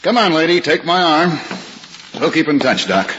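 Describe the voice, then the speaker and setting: Jokey upbeat lawyer, bouncy voice, hissy old radio play. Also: male voice, on the high side, sing-song, normal speed, fairly bright tone, muffled recording. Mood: breezy, joking. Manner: breezy, joking, reassuring. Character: cheerful wisecracking young lawyer in love. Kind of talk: 1950s radio drama acting.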